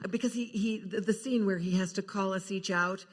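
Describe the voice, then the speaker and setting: An older woman telling anecdotes on a panel, halting and repeating words as she goes. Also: medium pitch, fairly even intonation. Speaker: guest actor sharing film memories. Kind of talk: panel reminiscence, anecdotal storytelling. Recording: echoey hall with long reverb.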